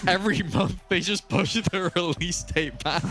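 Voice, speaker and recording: A man's voice: high-pitched, normal speed. A man in a casual podcast chat about gaming, talking in an emphatic, playful way. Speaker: podcast panelist. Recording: music behind the voice.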